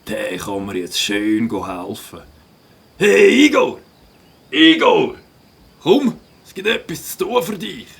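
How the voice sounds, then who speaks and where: A man playing a rowdy, bossy grown-up biker animal in a Swiss German children's audio drama, with a raspy voice and slangy talk. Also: medium pitch, big pitch swings, slow pace, fairly bright tone, heavily acted. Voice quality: raspy, gravelly.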